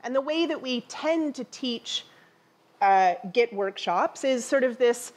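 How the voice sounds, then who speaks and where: A Canadian woman in her thirties speaks from a big theater stage through a headset mic. Her voice sits a bit high, and her delivery is relaxed and conversational, with wry little asides. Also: takes it slowly. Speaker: conference speaker. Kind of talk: conference presentation. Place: large dark theater stage.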